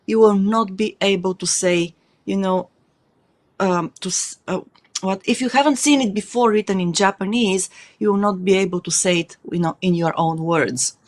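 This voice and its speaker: A woman's voice: mid-range voice, moderate pace. A woman whose first language is not English, chatting as a guest and thinking aloud with lots of fillers. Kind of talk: casual chat.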